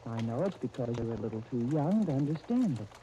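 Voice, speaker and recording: An actress playing a gentle, earnest guardian of young twins in a 1930s radio soap opera, speaking tenderly. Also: female voice, rumbling low, big pitch swings, fast. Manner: tender, earnest, uses endearments. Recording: muffled, noisy 1939 radio disc recording.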